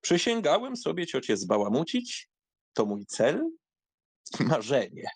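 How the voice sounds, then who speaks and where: An actor playing a carefree, mocking young count in a dramatised audiobook, with an ironic, theatrical way of talking. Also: male voice, a little high, huge pitch swings, measured pace, breathy edge, dry recording, full character voice. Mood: teasing, ironic.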